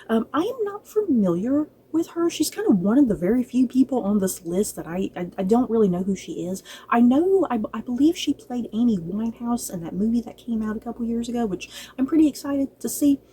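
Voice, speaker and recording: A woman's voice: fairly high pitch, sing-song, brisk, hardly any pauses, mellow. A woman giving a casual, conversational review to viewers, hesitant at times but emphatic about her opinions. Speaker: book and film reviewer.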